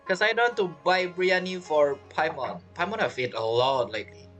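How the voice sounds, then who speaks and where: Animated, teasing game streamer. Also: medium pitch, brisk. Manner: teasing, joking asides, non-native phrasing. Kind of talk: casual game playthrough commentary. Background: video game music.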